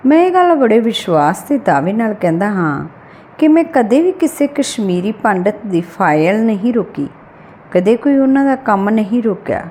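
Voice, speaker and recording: A woman's voice: mid-range voice, very animated, normal speed, warm tone, a little hiss or hum. A woman reading a literary short story aloud as scripted audiobook narration.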